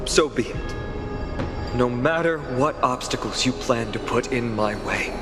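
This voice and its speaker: A man playing a resolute young chosen hero in a video game, earnest, dramatic and deliberate in his delivery. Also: medium pitch, natural voice. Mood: determined. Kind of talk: scripted game voice acting. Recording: echoey long reverb, loud music underneath.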